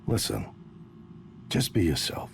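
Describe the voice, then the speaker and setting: A man's voice: slow, a little hiss or hum, lightly acted. A man voicing a confident older brother who runs a company in a video game, talking in a familiar, reassuring, persuasive way.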